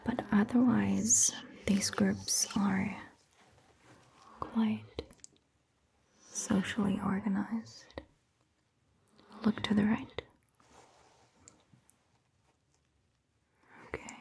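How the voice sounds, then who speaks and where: A woman plays a gentle, attentive female vampire doctor in an ASMR roleplay. The voice is mostly a breathy whisper with a crackly, fry-laden edge. Phrases come extremely slowly and carefully, over faint background noise. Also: mid-range voice, flat delivery, full character voice. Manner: gentle, slow, measured. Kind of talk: soft-spoken ASMR roleplay.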